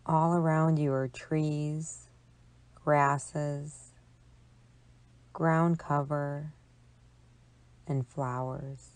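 A woman with a low voice guides a relaxation meditation at a very slow pace. Her delivery is calm and soothing, measured out with long pauses. The recording is dry and close, with little room sound. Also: no echo. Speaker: psychologist leading guided imagery.

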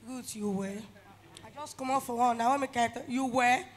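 A woman's voice: on the high side, moderate pace, close to an everyday voice. A young woman with a Nigerian accent acting a heartbroken, stubborn jilted lover in a drama, wailing and sullen. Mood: sullen, irritated.

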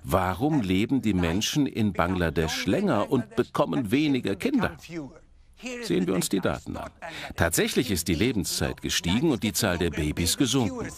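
Animated low-voiced man presenting. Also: moderate pace, crowd noise. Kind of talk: animated documentary lecture to an audience.

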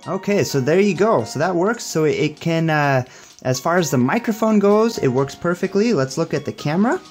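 A man's voice: a little high, lively intonation, moderate pace, soft background music. A young man giving a casual hands-on tech demo in an upbeat, approving manner. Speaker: tech reviewer.

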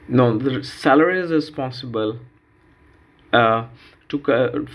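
A man delivers a step-by-step lecture at a slow pace. His pitch sits in the middle of the male range. He explains in a halting way, often pausing and repeating words as he goes.

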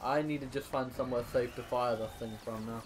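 A man's voice: medium pitch, steady tone, a little fast, background noise. A young man giving casual gameplay commentary in a rambling, exasperated way, with joking complaints and mild swearing. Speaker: gaming video host. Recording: game sound clearly behind voice. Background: video game action sounds.

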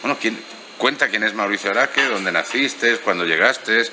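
A man's voice: on the low side, normal speed, slight background noise, low-quality recording. A middle-aged man hosting a casual interview in a lounge bar, chatting easily with a handheld mic. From Spain. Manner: relaxed, chatty, with fillers. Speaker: host.

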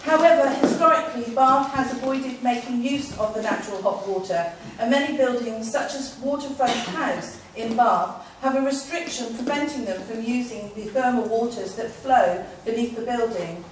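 A woman stands at a meeting and reads her prepared opening statement from notes, stumbling now and then. Her voice sits a bit high. The sound is distant and heavily reverberant, with faint noise behind it. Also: normal speed, hardly any pauses. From England. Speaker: parliamentary candidate. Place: meeting room with audience. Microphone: far room camera. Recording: echoey room from far off.

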